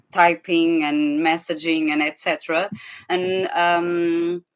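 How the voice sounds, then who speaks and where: A Portuguese woman in her thirties with a Portuguese accent, answering interview questions thoughtfully with many pauses and restarts. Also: fairly low pitch, fairly bright tone, clean tone. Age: 30s. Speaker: actress guest.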